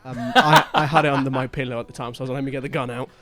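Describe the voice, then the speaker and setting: A man hosting a casual band podcast, chatty and quick to joke and tease. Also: mid-range voice, fairly even intonation, music in the background. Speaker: podcast host and band member. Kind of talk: casual chat.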